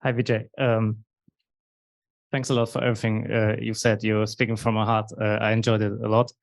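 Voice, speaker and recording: A man asking a lecturer a question from the audience, speaking in a hesitant, halting way with small stutters. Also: on the low side, completely flat delivery, creaky, no room sound.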